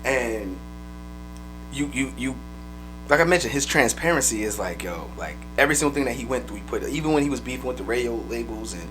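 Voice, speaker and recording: A man co-hosting a podcast, telling loose, profane stories in casual chat, his voice a little raspy. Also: medium pitch, expressive, music in the background, rough recording. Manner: fast, loose, profane storytelling. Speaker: podcast co-host.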